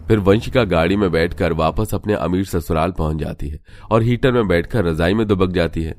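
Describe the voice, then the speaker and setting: A man's voice: sits low, fairly quick, a little mellow, dry recording. A man narrating a scripted cartoon story.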